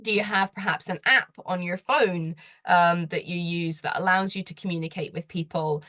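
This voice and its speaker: A woman's voice: on the low side, normal speed, no room sound, clean studio sound. A woman presenting slides, talking earnestly in a conversational way and putting questions straight to the listener. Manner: gets louder when enthusiastic. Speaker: presenter giving advice.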